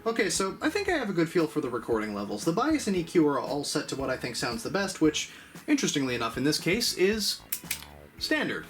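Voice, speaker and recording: Lively, higher-voiced man giving a tutorial. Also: brisk. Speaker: host of an audio gear channel. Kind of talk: casual tutorial narration.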